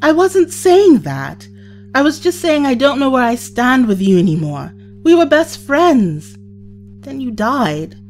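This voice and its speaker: Casting: woman as wounded, emotional young sidekick in audio drama; slightly high voice, wide expressive swings, reproachful. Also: measured pace, soft background music, exaggerated voice. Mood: upset, pleading. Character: hurt, emotional young woman, a time traveller's companion.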